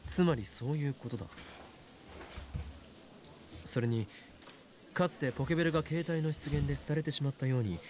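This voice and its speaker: A man's voice: a little high, fast talker, long pauses, a little mellow, a little hiss or hum, lightly acted. A man playing a young mad-scientist student in a visual novel, lecturing in a theatrical, emphatic way. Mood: earnest lecturing. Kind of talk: Japanese visual novel voice acting.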